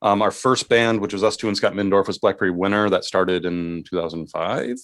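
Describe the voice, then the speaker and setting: A man's voice: deep voice, fairly even intonation, fairly quick, fairly bright tone, no echo, studio quality. A man who plays in bands, chatting casually as an interview guest and telling stories about his music. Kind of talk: casual chat, recounting band history.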